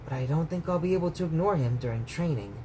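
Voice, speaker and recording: A man's voice: on the high side, lively intonation, quick pace, dark tone, pitched above the performer's own voice, lightly acted. A man voicing a modest, anxious young boy in a storybook read-aloud. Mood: uneasy. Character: young boy, anxious, modest child martial arts pupil. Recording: clear background noise.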